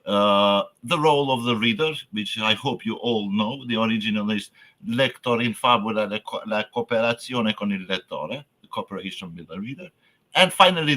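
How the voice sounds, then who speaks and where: A lower-pitched man with a bright, forceful edge delivers a conference lecture in English, his first language being Albanian. He speaks haltingly, stops to find words, and restarts phrases. He stresses key terms with sudden lifts, then lets the ends of his sentences fall away. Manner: halting, searching for words, frequent fillers and restarts.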